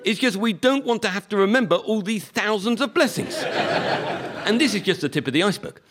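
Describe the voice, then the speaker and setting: A man with a Liverpool accent performing a stand-up comedy monologue with a wry, deadpan delivery. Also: sits high, brisk. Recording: live recording with audience noise.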